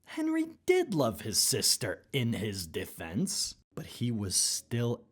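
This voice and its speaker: Female storybook narrator, very low register, lively swooping intonation, unhurried pace for rhyming read-aloud. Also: breathy edge.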